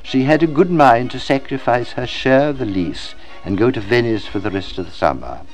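A man reading literary fiction aloud as scripted narration, in a measured, dramatic storytelling manner. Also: mid-range voice, expressive, soft background music. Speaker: audiobook reader.

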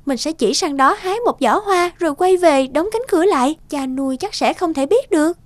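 A woman voicing a gentle, loyal young princess in a cartoon fairy tale, polite and earnest. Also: high voice, animated, brisk. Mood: delighted.

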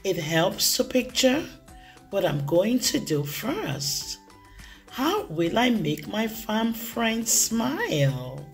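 Woman voicing an excitable young goat kid, very swoopy. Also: medium pitch, soft background music. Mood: determined. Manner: bubbly, expressive, drawn-out emphasis. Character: excitable, playful young goat kid who loves making friends laugh. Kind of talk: first-person children's storybook read-aloud.

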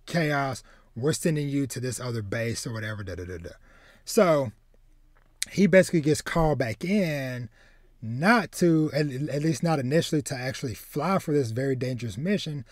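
A man's voice: medium pitch, no room sound. Rambling male podcast host, dry close sound. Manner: animated, rambling, repeats words while searching for phrasing. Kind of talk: casual chat, retelling a film plot. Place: home room with bookshelves, indoors.

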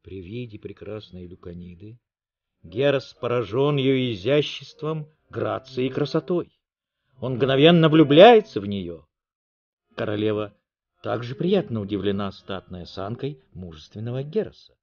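A man's voice: mid-range voice, expressive, moderate pace, a little mellow, highs cut off. A man narrating a story in a dramatic, theatrical, declamatory way.

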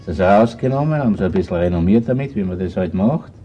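An actor playing a shrewd, unhurried Viennese police inspector in a radio crime play, with an Austrian accent. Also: male voice, low-pitched, lively intonation, mellow, faint music behind. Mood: calm, understanding. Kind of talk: naturalistic radio crime drama acting.

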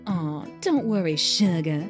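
A vain, flirty fish woman, sassy and sure of herself. Her voice is lower than usual with lively swings, over faint music. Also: takes it slowly. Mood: mocking. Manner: sassy, self-assured.

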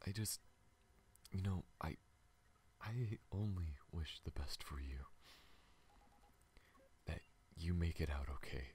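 A man voicing a gentle, shy, apologetic alien in an intimate ASMR roleplay, soft-spoken and hesitant, often slipping into a whisper. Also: low voice, slow pace, pauses often, a little hiss or hum. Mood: tender, earnest.

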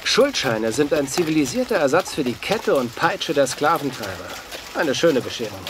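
An actor in a German audio drama playing a learned, grumbling, anxious companion, speaking in a dry, wordy, mannered way. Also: male voice, on the high side, very animated, moderate pace, background noise. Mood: worried, dry.

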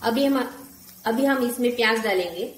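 A woman in her forties narrating a home cooking recipe step by step, calmly listing ingredients one at a time. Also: a little high, moderate pace. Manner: calm, lists ingredients one by one. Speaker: cooking channel host. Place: home kitchen, echoey. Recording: echoey room with faint kitchen noise.

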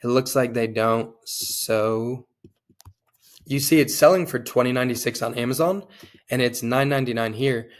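A young man, slightly hoarse from a cold, talks at a middle pitch into a desk webcam mic in a small room. His delivery is casual and upbeat, with easy fillers as he walks through a screen-share tutorial. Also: moderate pace. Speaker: online seller and tutorial host.

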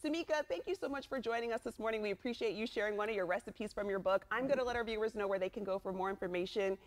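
A woman's voice: medium pitch, rapid-fire. A woman hosting a morning TV show, interviewing a guest in a studio. She sounds upbeat and laughs often. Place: TV studio, indoors.

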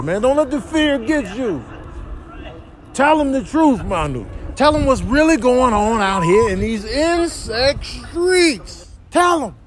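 A man's voice: extremely high pitch, noisy background. A man voicing an indignant, pushy insect in a comic voice-over, pleading urgently and repetitively in street slang. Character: indignant pushy talking insect demanding the truth.

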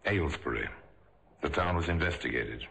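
A man narrating a vintage radio crime drama as a grave, deliberate storyteller. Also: a bass voice, expressive, gravelly, a bit bright, slightly rough, full character voice. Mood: grave, dramatic. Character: grave storyteller narrating police murder cases. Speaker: narrator and host. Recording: vintage radio recording, faint music.

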